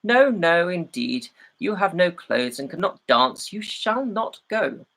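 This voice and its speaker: Man as a high, scornful fairy-tale stepmother. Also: sing-song, slow, vocal fry, a bit bright, full character voice. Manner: dismissive, scolding. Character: cruel, scornful stepmother, adult woman.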